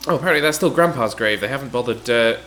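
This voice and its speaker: A man with a blocked, stuffy nose gives casual, wry commentary as he plays an adventure game, at a middling pitch. Game music sits faintly under his voice. He is chatty and dryly amused. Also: rapid-fire, fairly bright tone. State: stuffy-nosed from hay fever. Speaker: let's play host.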